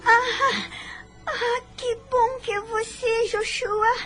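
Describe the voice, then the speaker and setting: A woman's voice: very high-pitched, steady tone, extremely slow, fairly bright tone, soft background music, exaggerated voice. A woman playing a frightened, timid young girl in a radio drama, plaintive and tearful in a thin childlike voice. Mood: tearful relief.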